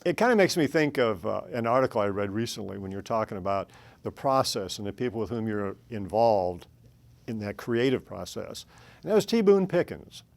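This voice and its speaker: A man's voice: fairly low pitch, animated, brisk, vocal fry. A man hosting an interview, asking questions in a conversational way. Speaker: host. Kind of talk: casual interview chat.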